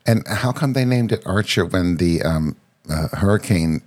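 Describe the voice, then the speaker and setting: A man hosting a podcast in casual, rambling chat, his throat congested and his voice husky from a sinus cold. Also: low-pitched, animated, moderate pace, a little mellow. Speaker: podcast host.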